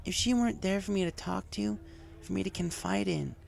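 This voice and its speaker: A man voicing a brash, emotionally torn young female cartoon pony with a strained high voice in a storybook reading. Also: brisk, gravelly. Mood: earnest, torn.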